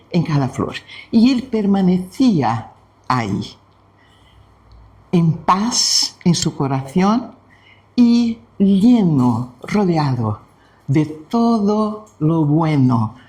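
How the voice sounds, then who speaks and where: A man from Brazil whose first language is Portuguese, speaking Spanish with a Brazilian Portuguese accent. He leads a devotional spiritist talk and tells a story in a gentle, unhurried way with frequent pauses. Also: on the high side. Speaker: spiritist study presenter.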